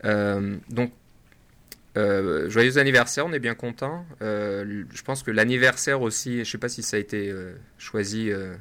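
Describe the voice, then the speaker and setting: A man with a French accent talks over a tech podcast in an easy, rambling way. He fills his pauses and restarts his phrases often. His voice sits a bit low but has a bright, forceful edge. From France. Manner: chatty, many fillers and restarts. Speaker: podcast co-host. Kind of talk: casual tech chat.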